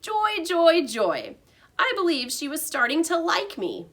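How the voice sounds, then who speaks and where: A woman's voice: extremely high pitch, wildly swooping pitch, a little slow, a bit bright, pitched above the performer's own voice, heavily acted. A woman voicing a small, proud, eager classroom pet in an exclamatory, self-admiring storybook style. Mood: joyful.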